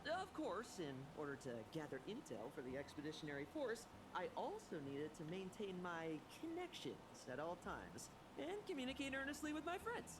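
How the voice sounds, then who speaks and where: A woman's voice: lowish voice, huge pitch swings, moderate pace, hardly any pauses. An actress playing a cheeky young woman knight with a playful, teasing manner. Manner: playful, teasing, swinging between cheer and gloom. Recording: game audio with noise.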